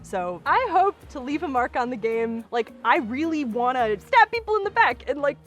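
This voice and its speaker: An American woman with a buzzy, excitable way of talking in a casual contestant interview. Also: high-pitched, normal speed. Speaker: reality show contestant. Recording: loud music bed. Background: music.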